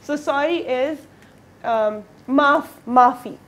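A woman's voice: high voice, a bit bright. A young Pakistani woman whose first language is Pashto, hosting a morning TV talk show with a bubbly manner and frequent laughter. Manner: bubbly, laughs often, teaches words slowly. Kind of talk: casual TV interview. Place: TV studio set.

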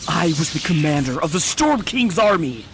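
A woman voicing a proud, bitter female unicorn warrior in a comic dub, boastful and forceful. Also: sits low, big pitch swings, normal speed, slight background noise, pitched above the performer's own voice. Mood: furious boasting. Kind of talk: comic dub character acting.

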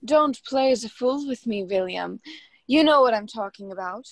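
A woman's voice: fairly high pitch, animated, normal speed, dry recording. A young woman acting in a radio play as a scheming, haughty society hostess, condescending and taunting. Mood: cold, demanding. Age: young adult.

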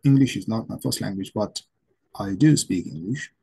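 A middle-aged man from Pakistan with a Pakistani accent, a native Urdu speaker, gives an online English lesson from a home room, explaining slowly and repeating himself. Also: on the low side, creaky, warm tone, breathy edge, faint music behind. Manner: slow, repetitive explaining with many pauses. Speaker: English teacher. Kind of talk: online lecture to camera. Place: home room with plants and shelves.

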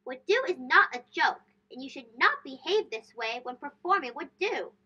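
Woman as an instructive big brother, high-voiced. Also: big pitch swings, normal speed, pushed and bright, exaggerated voice. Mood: stern. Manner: instructive, emphatic. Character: older brother, a boy, patient but stern teacher. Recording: dull lo-fi sound.

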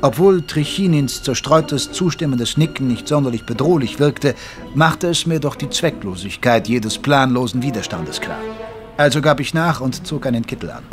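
A man acts a reluctant army doctor telling his story in a radio play. His mid-pitched voice is measured and sober with a dry, wry edge, over soft music. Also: expressive, close to an everyday voice. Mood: wary, dry. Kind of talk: scripted first-person audio drama narration.

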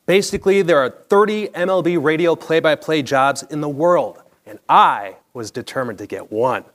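Animated American man telling a personal story. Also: a little high. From Chicago area, United States. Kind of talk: scripted personal narration read on stage. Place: theatre stage before a live audience. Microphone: clip-on lapel mic.

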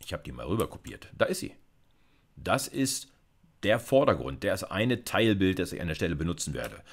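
A man's voice: low voice, very animated, moderate pace. A man, a university lecturer thinking aloud informally as he explains live coding. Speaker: university computer science lecturer. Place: indoors, seated at a computer.

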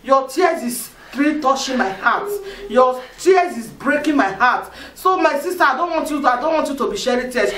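A woman acts out a devout, insistent friend who keeps preaching reassurance at a tearful companion, her voice a touch high. The room is echoey and she sounds set back from the mic. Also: lively intonation, moderate pace, hardly any pauses, a bit bright, recorded from a distance. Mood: consoling. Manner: preachy, insistent, repeats phrases. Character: devout woman consoling a weeping childless friend. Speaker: comforting friend.

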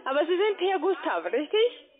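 A woman with a foreign accent speaking halting, non-native German as a call-center trader on a sales call. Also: extremely high pitch, sing-song. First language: not German. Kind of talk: scripted sales phone call. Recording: tinny narrowband phone line.

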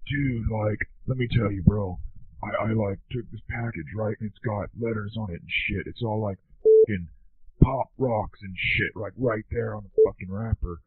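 An actor playing a dim, excitable slacker dude in a comedy skit, rambling in profane stoner bro talk with a husky voice. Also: male voice, fairly low pitch, moderate pace, no room sound. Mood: rambling, amazed. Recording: narrow, dry telephone-like sound.

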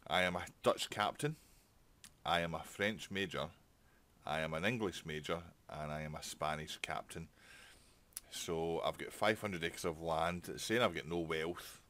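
A man's voice: deep voice, measured pace, sharp tone. A man giving casual let's play commentary, chatting and thinking aloud as he plays. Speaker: gaming channel host.

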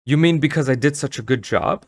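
A man voicing an energetic, insistent middle-aged mother in a storybook, with a brisk, emphatic manner. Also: on the high side, gravelly, no echo, studio quality, pitched above the performer's own voice, heavily acted. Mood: hurt, defensive.

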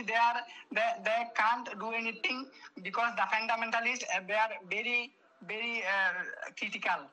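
A woman's voice: medium pitch, sharp tone, low-quality recording. A woman from Bangladesh with a Bangladeshi accent, a phone caller speaking hesitant, broken English with many fillers and restarts. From Bangladesh (Chattogram). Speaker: phone caller, local witness. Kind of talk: halting phone interview, partly read from a script.

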